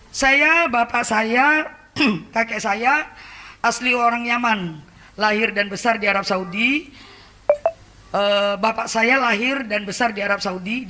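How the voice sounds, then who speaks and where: A woman's voice: on the high side, pushed and bright. A woman from Indonesia giving a conversational church testimony through a face mask, full of hesitations and often turning to address the congregation. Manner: chatty, many hesitations, often addresses the audience. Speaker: lay preacher giving testimony. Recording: echoey hall with background noise.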